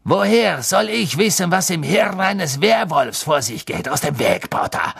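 Casting: bitter, imperious schoolmaster for a storybook, gravelly, sneering, hissing his threats. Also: lowish voice, expressive, a bit bright, no room sound, studio quality, heavily acted. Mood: cold menace. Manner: hissing threats, drawn-out commands. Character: bitter, menacing male teacher, sneering and imperious.

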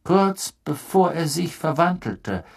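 A man's voice: a little high, sing-song, slow pace, creaky, a little mellow, pitched above the performer's own voice, full character voice. A man voicing a haunted escaped prisoner who recounts things with brooding emphasis in a storybook reading. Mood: bitter.

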